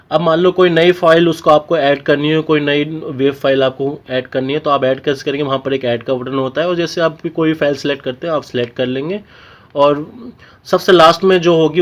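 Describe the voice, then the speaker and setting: A man with an Indian accent giving an informal technical tutorial, explaining step by step and often checking in with short tags. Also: fairly high pitch. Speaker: technical instructor.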